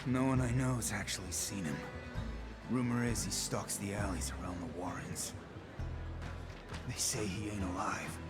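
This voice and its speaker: A man playing a rough harbour local in a video game, speaking colloquially and a little hesitantly. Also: lowish voice, moderate pace, long pauses, natural voice. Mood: ominous gossip. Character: rough male harbour local sharing grim rumours. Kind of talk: video game cutscene acting. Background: loud music.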